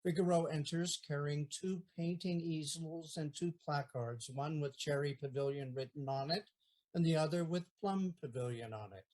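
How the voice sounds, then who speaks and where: Measured stage-direction narrator. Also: mid-range voice, darkish tone, low-quality recording. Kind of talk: reading stage directions aloud.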